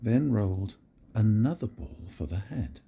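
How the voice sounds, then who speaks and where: An older man reading a picture book aloud to his grandchildren in a gentle, very expressive storytelling manner. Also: fairly low pitch, measured pace, creaky, slightly rough.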